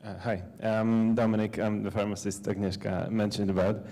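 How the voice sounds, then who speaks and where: A man with a Polish accent asking a question from the audience, haltingly searching for words in a reverberant hall. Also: lowish voice, level tone, dark tone. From Poland. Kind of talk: hesitant spoken question. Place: large conference hall. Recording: long hall reverb from conference sound system.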